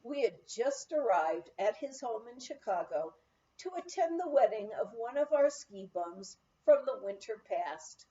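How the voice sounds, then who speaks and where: A woman narrates a memoir in an expressive storytelling style. Her voice is fairly high and mellow, and she takes her time with each phrase. The recording sounds a little dull and lo-fi. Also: a little slow. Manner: storytelling, acts out quoted dialogue. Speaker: audiobook reader.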